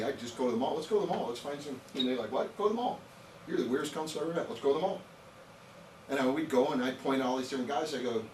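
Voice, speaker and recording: Man about fifty, storytelling lecturer, rhetorical questions, reverberant room, faint room hum. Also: mid-range voice. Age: around 50. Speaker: nutrition trainer. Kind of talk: informal lecture to a live audience. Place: training room with an audience. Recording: echoey room, voice picked up from a distance.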